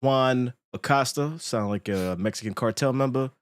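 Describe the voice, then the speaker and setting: A man's voice: mid-range voice, normal speed, clear, resonant tone, no echo, clean studio sound. A man hosting a casual livestream podcast, joking and swearing as he chats. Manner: joking, profane banter. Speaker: podcast host. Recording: music playing behind. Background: background music.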